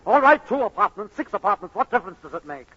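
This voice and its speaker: A man's voice: high voice, very animated, fast, bright, forceful tone, slightly rough, heavily acted. An actor playing a brash, glib, sardonic man who wisecracks breezily in 1940s radio comedy style. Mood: glib indignation. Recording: muffled old radio broadcast.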